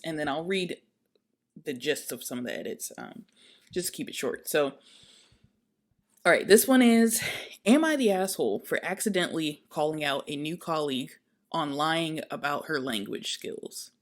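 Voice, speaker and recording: Lively chatty woman podcaster. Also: sits low, brisk. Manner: chatty, laughs often, adds asides while reading. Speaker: podcast co-host. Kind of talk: casual podcast chat, reading a forum post aloud.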